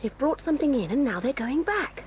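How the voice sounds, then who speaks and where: A bold, tomboyish teenage girl in an old audio drama. Her voice is high and lively, and she talks in eager, breathless whispers. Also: fairly quick, slight background noise. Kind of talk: 1980s radio-style audio drama.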